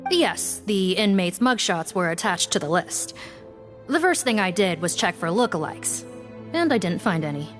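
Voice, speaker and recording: Brisk no-nonsense lady detective in an anime game, really animated delivery, bit of music under. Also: lowish voice. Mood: skeptical, insistent. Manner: matter-of-fact, pointed. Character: brisk, skeptical female investigator. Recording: faint music behind.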